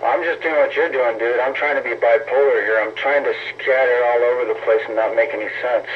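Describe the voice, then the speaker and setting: A man's voice: medium pitch, fairly even intonation, fairly quick. Male CB operator, narrow tinny transmission with light noise; sarcastic, foul-mouthed, needling in casual argument. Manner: profane, taunting, sarcastic. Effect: CB radio transmission. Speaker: CB radio operator in an on-air argument. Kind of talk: heated casual trash talk over CB radio. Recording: tinny narrow-band CB radio, noisy static.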